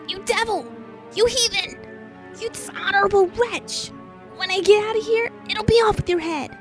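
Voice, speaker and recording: Very high-voiced, bombastic filly knight. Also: female voice, normal speed. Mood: indignant outrage. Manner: theatrical, bombastic. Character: young filly pony playing a brave, indignant knight. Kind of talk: theatrical fan fiction voice acting. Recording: music behind the voice.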